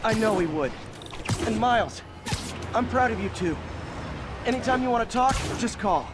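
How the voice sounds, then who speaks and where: Earnest, higher-voiced young superhero. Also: male voice, lively intonation, normal speed, noisy background. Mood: supportive. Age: 20s. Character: earnest, kind young superhero in his early twenties. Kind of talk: naturalistic video game acting. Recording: music behind the voice.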